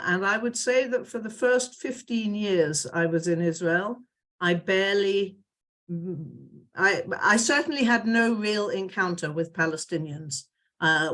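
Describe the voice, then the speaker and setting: A woman's voice: lowish voice, a bit bright. A middle-aged woman with a British accent, introducing herself on a panel video call, with many hesitations and restarts. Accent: British English. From United Kingdom. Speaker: executive director of a dialogue center.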